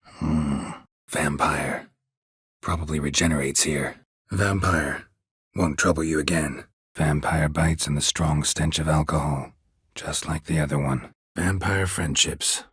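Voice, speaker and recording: An actor playing a gruff, weary, stoic monster hunter in a video game, a gravelly voice and a terse, deadpan delivery. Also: male voice, a little slow, no echo, full character voice. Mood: dry, terse. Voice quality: husky, gravelly low voice. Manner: terse, clipped, deadpan, dry. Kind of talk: video game character dialogue.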